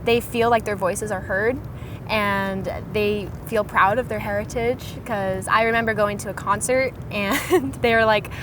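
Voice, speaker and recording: A young woman in her twenties chatting in a casual interview as a guest, upbeat and quick to laugh. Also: medium pitch, fairly even intonation, noisy background. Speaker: interview guest, content creator.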